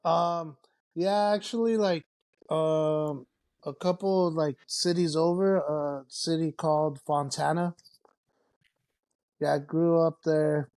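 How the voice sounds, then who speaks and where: Gravelly-voiced Mexican-born man chatting. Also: a little high, takes it slowly. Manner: relaxed, swearing, lots of fillers. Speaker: podcast guest. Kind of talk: casual chat.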